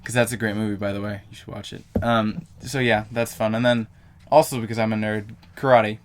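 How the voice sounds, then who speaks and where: Rambling young guy with a low voice. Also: flat delivery, fairly quick. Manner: rambling, self-correcting, lots of fillers. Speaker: vlogger. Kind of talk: casual rambling vlog.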